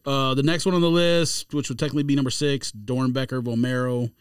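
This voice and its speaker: A man's voice: medium pitch, fairly even intonation, a little fast, smooth, no room sound, clean studio sound. A man chatting casually as a podcast panelist while running through a ranked list in an offhand, teasing way.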